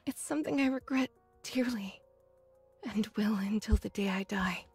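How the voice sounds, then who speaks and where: An actress playing an earnest, scholarly young android researcher in a video game, speaking in a measured, precise way. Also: female voice, mid-range voice, darkish tone, slightly rough. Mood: regretful, resolute. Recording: dry studio voice, faint game music.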